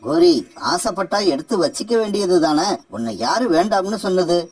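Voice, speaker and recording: A brazen, bullying male crow acted by a man, high for a male and husky. He is a mocking, callous thief in a children's cartoon tale. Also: animated, moderate pace, darkish tone. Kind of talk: animated moral story dialogue.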